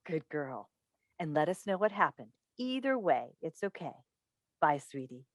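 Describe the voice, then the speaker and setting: A woman's voice: deep voice, big pitch swings, a little slow, no echo, studio quality, heavily acted. A woman in a staged play reading, playing a chatty, coaxing radio call-in host and doting, meddling mother with an emphatic theatrical delivery. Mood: coaxing, teasing. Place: indoors on a video call. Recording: recorded over video call.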